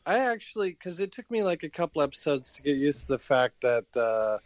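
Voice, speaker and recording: A man's voice: on the high side, big pitch swings, fairly quick, no echo. An older man co-hosting a podcast in casual, conversational talk. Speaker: podcast co-host. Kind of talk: casual chat.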